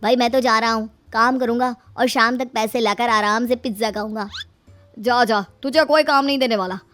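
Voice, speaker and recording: Woman acting breezy, lazy young son-in-law, higher-pitched. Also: steady tone, normal speed, a bit bright, faint music behind, lightly acted. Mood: grumbling.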